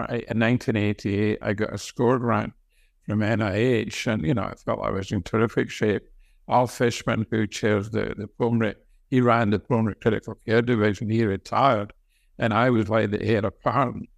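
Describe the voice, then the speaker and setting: A man's voice: on the low side, vocal fry, dry recording, clean studio sound. An older man from Scotland with a Scottish accent, reminiscing conversationally as an interview guest, often repeating words as he goes. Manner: halting, repeats words as he talks. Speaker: interview guest, senior medical professor and researcher.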